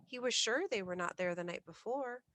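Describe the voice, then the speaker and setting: A woman reading a classic ghost story aloud as scripted bedtime narration, in a measured, literary storytelling manner. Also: fairly high pitch, animated, a bit bright, no room sound. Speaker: storyteller, reader of ghost stories.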